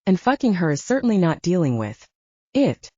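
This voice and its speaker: A woman's voice: fairly low pitch, sing-song, moderate pace, mellow, no echo, muffled recording. A woman voicing a commanding, exasperated older brother, an alpha werewolf, with a stern, patronizing manner in an audiobook.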